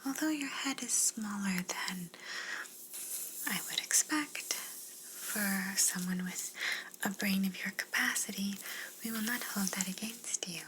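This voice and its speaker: A woman voicing a polite, curious alien examiner in an ASMR roleplay, speaking gently and formally in a breathy whisper. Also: medium pitch, slow pace, long pauses, background noise, close to an everyday voice. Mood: intrigued, polite.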